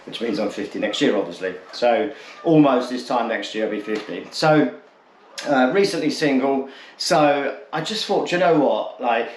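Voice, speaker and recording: A man's voice: medium pitch, moderate pace. A man, talking casually and candidly to camera as a vlogger, rambling a little and rephrasing himself as he goes. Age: late 40s. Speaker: vlogger introducing his channel. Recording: echoey untreated room.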